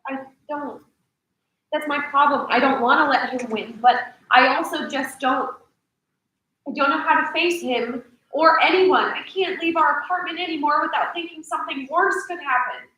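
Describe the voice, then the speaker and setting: A young actress in a staged reading playing a shaken, apologetic woman confiding in friends, speaking haltingly. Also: high-pitched, sharp tone. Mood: upset, pleading. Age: young adult. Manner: halting, hesitant. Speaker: actor. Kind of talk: staged play reading, naturalistic drama. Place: large echoey indoor room. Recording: echoey hall, distant microphone.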